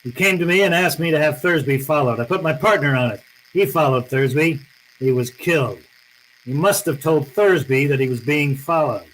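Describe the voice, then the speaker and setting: A man's voice: fairly high pitch, moderate pace, fairly bright tone, a little hiss or hum, natural voice, poor audio. A man playing a cynical, unyielding private detective in an old-time radio drama, with a terse, tough, accusing manner. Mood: accusing, hard.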